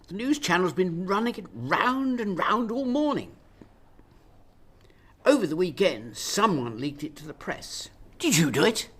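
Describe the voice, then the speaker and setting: A woman playing an exasperated, sharp-tongued Prime Minister, scolding and sarcastic, in a radio drama. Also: fairly low pitch, big pitch swings, unhurried, breathy edge, exaggerated voice. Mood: tense.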